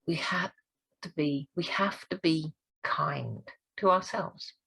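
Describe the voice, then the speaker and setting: A woman speaking coach giving an encouraging training talk, her voice croaky and hoarse this week. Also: deep voice, slow, fairly bright tone. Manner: encouraging, earnest. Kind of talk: direct-to-camera training talk.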